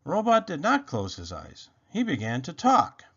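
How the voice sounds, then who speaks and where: A man's voice: fairly high pitch, huge pitch swings, measured pace, fairly bright tone, husky. A man reading a children's story aloud as narrator, expressively, on a slightly dull lo-fi recording.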